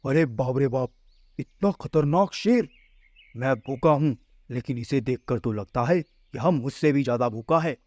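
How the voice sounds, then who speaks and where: A man's voice: medium pitch, measured pace, a little mellow, no echo, lightly acted. Hungry cartoon lion male narrator putting it on: a timid coward, alarmed and exclamatory, mid-range voice. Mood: scared. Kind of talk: children's moral story cartoon dub.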